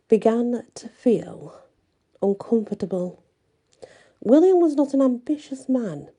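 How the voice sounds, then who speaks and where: A woman narrating a scripted folk tale in a measured storybook manner, with deliberate pauses between phrases. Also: medium pitch, dark tone.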